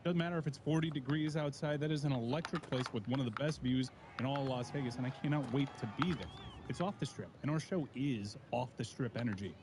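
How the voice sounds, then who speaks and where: A man's voice: medium pitch, quick pace, noisy background. A man who is a regular on a sports talk show, bantering with enthusiasm. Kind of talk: studio talk show chat.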